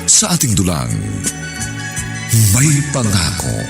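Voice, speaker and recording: A man announcing a radio drama with a slow, theatrical delivery. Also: sits low, huge pitch swings. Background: loud music.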